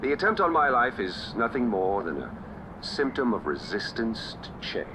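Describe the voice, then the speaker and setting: An actor playing a flamboyant, sardonic, self-assured tyrant king in a video game, with mock-polite phrasing and deliberate pauses. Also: mid-range voice, sing-song, noisy background, lightly acted. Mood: grandly composed. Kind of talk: video game cutscene acting.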